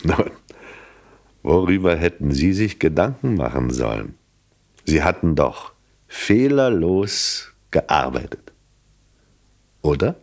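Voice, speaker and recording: An actor playing a shrewd police inspector in a German radio play, probing with polite, dry irony and skepticism. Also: male voice, rumbling low, very animated, a little mellow, full character voice. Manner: ironic, polite, measured, probing.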